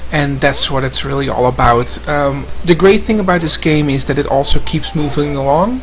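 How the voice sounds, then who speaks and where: Casual male reviewer, slightly high voice, hesitant and loose. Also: a bit bright. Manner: hesitant, lots of ums. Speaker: game reviewer.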